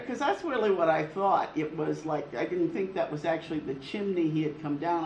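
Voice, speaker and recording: A teacher giving a classroom critique of student work, thinking aloud in a halting way. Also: medium pitch. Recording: echoey room with faint noise.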